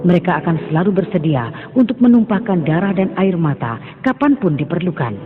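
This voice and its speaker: Male narrator, high-ish voice, solemn preachy read over music, tinny track. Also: big pitch swings, fairly quick. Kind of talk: scripted religious story narration. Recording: thin phone-like sound over music.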